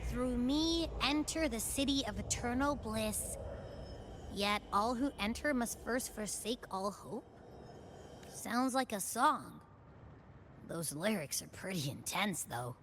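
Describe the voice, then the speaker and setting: A small, cheeky game creature with a high, bouncy, swooping voice. It chatters informally and playfully, like an excitable child sidekick, taking its time over the words. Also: female voice, slow pace, a little hiss or hum. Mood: curious. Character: Abby, small excitable cheeky female Echo creature companion. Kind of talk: video game voice acting.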